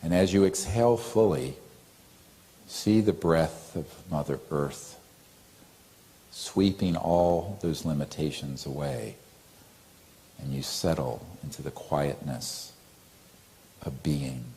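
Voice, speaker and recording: Very deep, slow-spoken male meditation guide. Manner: gentle, calm, soothing. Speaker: meditation teacher.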